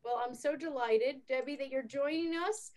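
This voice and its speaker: Woman host, high voice, enthusiastic, chatty, many fillers. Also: normal speed, darkish tone. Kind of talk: casual interview chat.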